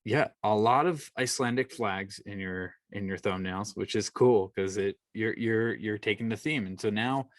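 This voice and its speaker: A man with a somewhat low voice hosts a conversational interview. He rambles through his questions, stumbling and restarting as he goes. The recording is dry and close. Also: no echo. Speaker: podcast host.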